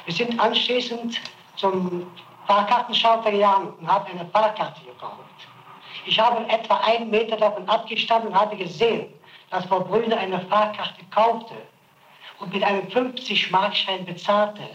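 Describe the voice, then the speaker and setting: A man's voice: high voice, moderate pace, a bit bright, dull audio. A man being questioned as a suspect, insistent and defensive, stammering as he repeats his account. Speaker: suspect under interrogation. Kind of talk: archival interrogation recording. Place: prison interrogation room. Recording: roomy old tape recording with faint hiss.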